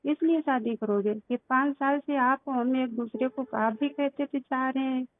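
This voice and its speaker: Woman, high voice, reproachful and insistent, private argument over a narrow phone line. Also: unhurried, dry recording. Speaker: private caller. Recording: tinny, band-limited recorded phone call.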